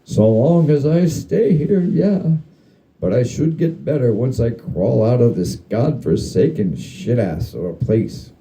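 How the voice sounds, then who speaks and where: A man's voice: mid-range voice, animated, measured pace, warm tone, rough recording. A man voicing a crude, gleeful and whiny ghost who needles and taunts in a melodramatic, mock-parental way, in a comic story reading. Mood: aggrieved.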